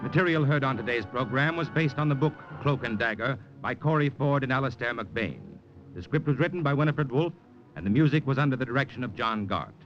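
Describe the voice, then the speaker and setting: A man's voice: mid-range voice, animated, fairly quick. A man announcing from a script in formal 1940s radio style. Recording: dull vintage radio broadcast, music behind.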